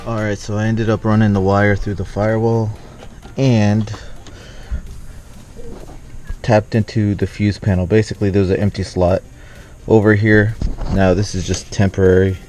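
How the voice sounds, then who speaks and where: A man's voice: fairly low pitch, little pitch movement, normal speed, long pauses, a little mellow. A man giving a casual how-to narration as he talks through a hands-on DIY job for a vlog. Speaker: vlogger showing a DIY truck radio install.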